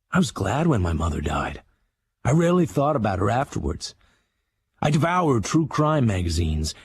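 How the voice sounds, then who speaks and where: An actor playing a haunted, obsessive middle-aged crime writer, narrating his dark memoir in terse confession with a gravelly, husky American voice. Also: medium pitch, full character voice. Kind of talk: scripted first-person crime memoir narration.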